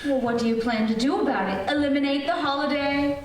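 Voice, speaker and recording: A woman's voice: sits high, a little fast, natural voice. A woman playing a questioning wife and mother in an old-time radio comedy. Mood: questioning, placating. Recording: very echoey hall, distant mic.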